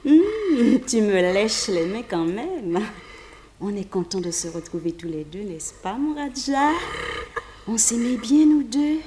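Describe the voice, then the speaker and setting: An actress playing a passionate, vengeful murderess who poses as a meek servant, in an intense, theatrical old French radio drama style. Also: female voice, on the high side, very animated, takes it slowly, reverberant, a crowd in the background. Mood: tender, hushed.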